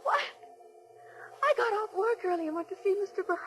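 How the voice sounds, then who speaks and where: High-voiced anguished sweetheart in radio drama. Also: female voice, animated, brisk. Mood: distressed. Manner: breathless, pleading, melodramatic. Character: frightened loving woman, tender and anguished. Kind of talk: 1940s radio acting. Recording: 1940s radio drama with music.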